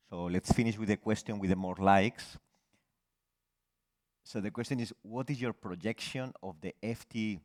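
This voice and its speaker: Deep-ish guy moderating a conference panel, reading out audience questions. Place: conference hall.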